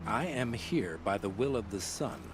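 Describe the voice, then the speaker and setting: An actor playing a sanctimonious, stubborn old sun priest in a video game, preaching with pompous solemnity. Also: male voice, fairly low pitch, lively intonation, moderate pace, over music, natural voice. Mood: indignant.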